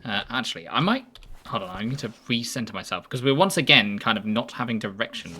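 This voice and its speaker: A man's voice: mid-range voice, lively intonation, a little fast, sharp tone, faint music behind. A man streaming a game and chatting playfully, thinking aloud and poking fun at himself. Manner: playful, self-deprecating, thinks aloud while playing. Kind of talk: casual gaming chat.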